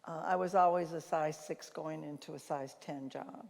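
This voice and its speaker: An older woman with a naturally loud voice gives an informal conference talk, telling anecdotes and acting out bits of conversation. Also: deep voice, steady tone, moderate pace, creaky. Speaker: conference speaker and leadership consultant. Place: large reverberant conference hall. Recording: reverberant hall sound.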